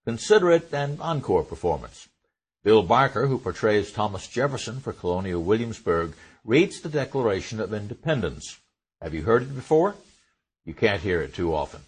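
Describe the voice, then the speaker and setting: A man's voice: fairly low pitch, sing-song, moderate pace, slightly rough, low-quality recording. A man hosting, delivering a short scripted introduction. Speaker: podcast host introducing a reading.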